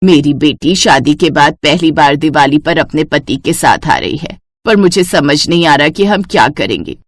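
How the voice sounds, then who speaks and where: A woman's voice: low-pitched, lively intonation, moderate pace, dry recording, studio quality, pitched below the performer's own voice. A woman voicing an older mother-in-law in a cartoon story, earnest and motherly. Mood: worried. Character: older Indian mother-in-law, anxious but appreciative.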